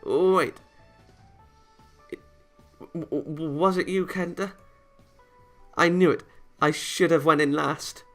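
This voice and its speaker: Male reader as a flustered young anime girl, high pitch, indignant stammer, quick temper, visual novel, music behind. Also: fairly even intonation, fairly bright tone.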